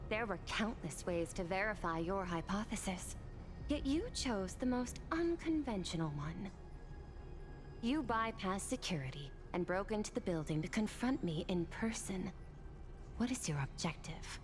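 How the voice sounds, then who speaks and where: An actress playing a cool, logical official with a clinical, formal and exact way of speaking. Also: female voice, mid-range voice, lively intonation, moderate pace, lightly acted. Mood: questioning, formal. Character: precise, analytical female official, cool and logical. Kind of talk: dramatic game voice acting. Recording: music behind the voice.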